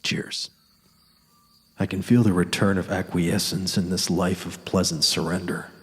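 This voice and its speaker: An actor giving a measured dramatic reading as an ailing, world-weary, cynical writer on safari. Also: male voice, low-pitched. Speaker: actor narrating in character. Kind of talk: dramatic first-person literary narration. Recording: long reverb on the voice.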